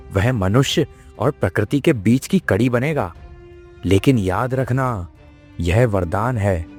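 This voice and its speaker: A man voicing a solemn, benevolent divine monkey who guards a forest, with measured oracular delivery in a cartoon myth. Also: medium pitch, darkish tone, close to an everyday voice. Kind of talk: mythical cartoon story acting.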